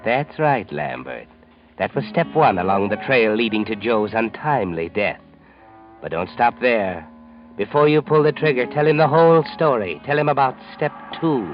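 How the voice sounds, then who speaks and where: An American actor playing a knowing, taunting narrator of a 1940s radio mystery, deliberate, insinuating and mocking. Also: male voice, mid-range voice, animated, lightly acted. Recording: dull vintage radio recording. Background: music.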